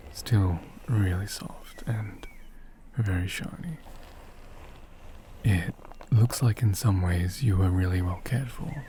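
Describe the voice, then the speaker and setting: Man playing gentle male doll repairer, ASMR roleplay, dark soft timbre, half-whispered, soothing, attentive, faint noise behind. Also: low-pitched. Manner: unhurried, hushed, murmuring with thoughtful hums.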